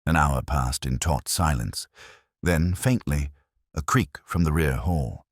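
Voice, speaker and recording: Very deep-voiced man narrating detective fiction. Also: slow, no room sound, studio quality. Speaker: audiobook narrator. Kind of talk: scripted first-person detective story narration.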